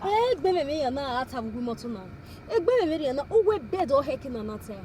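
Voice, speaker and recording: An anxious Nigerian friend in a screen drama. Her high voice moves up and down a lot, full of disbelief. There is clear noise in the location recording. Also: sing-song, fast, darkish tone. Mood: disbelieving. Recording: noisy location sound.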